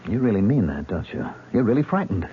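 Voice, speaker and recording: An actor playing a haunted, unstable man in a theatrical old radio drama style, swinging in pitch. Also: big pitch swings, fast talker. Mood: defensive. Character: Jason, haunted, unstable man with murderous urges. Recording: dull, noisy old radio recording.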